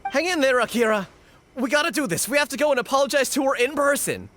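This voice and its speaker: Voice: high-pitched, big pitch swings, quick pace, fairly bright tone, a little hiss or hum. An actor voicing a loyal, anxious young gang member in a video game, speaking with earnest urgency. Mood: determined. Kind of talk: scripted video game voice acting.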